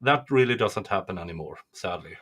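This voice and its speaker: A man from Sweden, chatting casually on a stream in an unhurried, thoughtful way with many fillers. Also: sits low, expressive, fairly bright tone.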